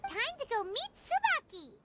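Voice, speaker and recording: Casting: perky little game fairy, childlike squeak, wildly animated delivery. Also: female voice, moderate pace, a little hiss or hum, full character voice. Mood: cheerful. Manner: speaks of herself in third person, perky. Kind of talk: anime-style video game voice acting.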